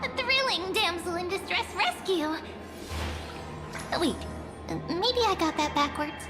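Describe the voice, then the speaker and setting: Playful, very high-pitched sprite girl. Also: expressive, slow pace, music in the background, full character voice. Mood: playful, urgent. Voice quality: girlish, very high voice. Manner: playful, chirpy, affectionate. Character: cute, mysterious, playful female memory sprite companion. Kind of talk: anime game voice acting.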